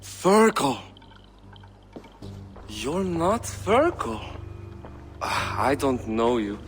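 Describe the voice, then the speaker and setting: A man's voice: mid-range voice, very animated, drawn-out words, soft background music. An actor playing a boastful, hard-drinking middle-aged king in a video game cutscene, with a jovial, mocking manner. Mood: puzzled. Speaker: game character.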